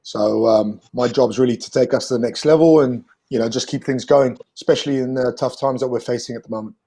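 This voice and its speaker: Relaxed man with a mixed Kiwi-tinged accent. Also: mid-range voice, fairly quick, creaky, husky. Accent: mixed, New Zealand-tinged English. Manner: relaxed, laughing, says mate and bro. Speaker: guest, rugby union chief. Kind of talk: video call interview.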